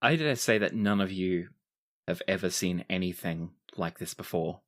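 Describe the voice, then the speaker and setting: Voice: low voice, moderate pace. A game master narrating scenes and characters in a tabletop roleplaying game.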